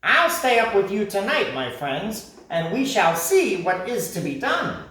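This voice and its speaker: A man's voice: sits high, big pitch swings, unhurried, pushed and bright, distant mic, a little hiss or hum, voice pushed up, exaggerated voice. A man voicing a cheerful, raucous male parrot with a squawking, cackling voice in a storybook reading. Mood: jolly. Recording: echoey room.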